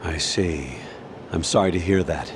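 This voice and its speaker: A low-voiced, authoritative military colonel. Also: male voice, noisy background. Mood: formal, grave. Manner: formal, measured, commanding. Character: authoritative older male colonel, formal mission commander. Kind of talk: dramatic video game voice acting.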